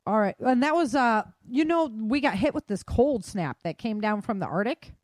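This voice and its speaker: A woman's voice: on the high side, animated, moderate pace, a little mellow, no room sound, clean studio sound. A woman hosting a podcast, giving a casual news roundup in an informal, chatty, colloquial way. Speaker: podcast host. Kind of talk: casual news roundup of world weather and disasters.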